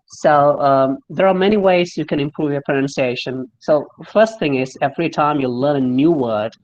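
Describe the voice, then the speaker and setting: A man's voice: fairly high pitch, moderate pace, a little mellow, muffled recording. A man from Kerala with a Malayali English accent, a speaking coach explaining and demonstrating pronunciation in a friendly online lesson, often spelling words out letter by letter. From Kerala, India.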